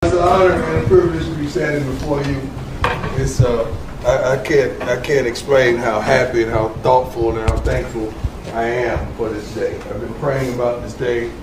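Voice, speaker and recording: A man's voice: mid-range voice, steady tone, normal speed. A man speaking as head coach, giving an emotional, earnest speech to his team. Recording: echoey room from far off, background noise.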